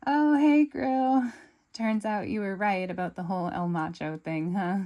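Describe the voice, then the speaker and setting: A woman reading a storybook aloud, voicing a spunky, eager female secret agent with a bright, perky delivery. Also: medium pitch, unhurried, highs cut off, natural voice. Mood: cheery.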